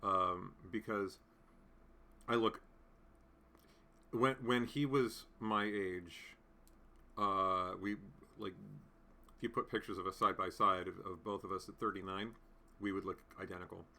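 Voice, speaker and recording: A man's voice: low voice, steady tone, unhurried, long pauses, sharp tone. A man in his late thirties hosting a casual live chat from home, rambling with many fillers and restarts as he answers comments. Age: 30s. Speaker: livestream host.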